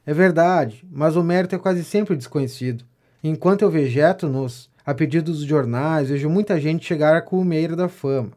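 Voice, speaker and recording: A man acts out a self-important gentleman of letters in a period comedy audio drama. He has a Brazilian accent and a fairly high male voice, and he declaims pompously, lavish with flattery. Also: moderate pace, natural voice. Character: vain, self-important gentleman pamphleteer, pompous political know-it-all. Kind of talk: audio drama of a 19th-century stage comedy.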